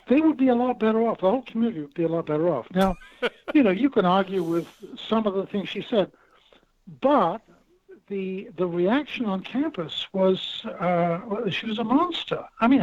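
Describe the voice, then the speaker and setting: A man, a professor, making his case in a talk radio discussion with measured, emphatic, repeating argument. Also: sits high, dry recording, lo-fi sound. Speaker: professor guest.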